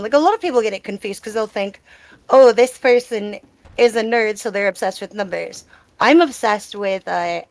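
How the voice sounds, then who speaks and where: A woman's voice: medium pitch, creaky, no echo. A woman gamer answering questions in a casual live Q&A, talking in a reflective, explanatory and conversational way. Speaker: gamer being interviewed. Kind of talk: live Q&A, casual personal talk.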